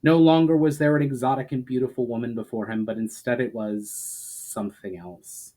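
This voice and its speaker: A man reading a fantasy story aloud as a dramatic narration, with emphatic phrases that trail off softly. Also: mid-range voice, fairly even intonation, normal speed.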